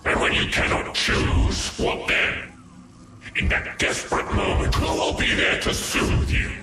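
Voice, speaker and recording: A man's voice: a little slow, distant mic, heavy background noise, close to an everyday voice. A man playing a menacing godlike game villain who makes grandiose, ominous declarations about an unchanging world. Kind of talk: dramatic video game cutscene acting. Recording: echoing voice under loud music.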